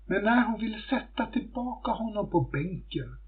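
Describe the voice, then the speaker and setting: Husky man telling a folktale, phone-like sound. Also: high-pitched, very animated. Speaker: storyteller. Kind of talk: scripted folktale narration. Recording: muffled phone-like audio.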